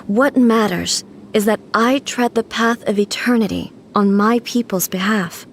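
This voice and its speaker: An actress playing a solemn, imperious female god ruler in a video game, speaking in a measured, formal and grave way. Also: medium pitch, slight background noise, natural voice. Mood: solemn, unyielding. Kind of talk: dramatic video game voice acting.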